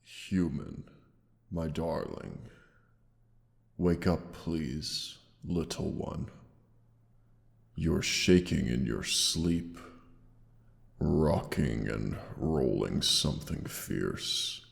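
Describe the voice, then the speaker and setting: A man playing a tender, protective male dragon in an intimate audio roleplay, speaking with old-fashioned endearments in a gravelly voice. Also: heavily acted. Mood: tender concern. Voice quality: very deep, gravelly. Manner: slow, archaic, tender endearments. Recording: long cavernous reverb.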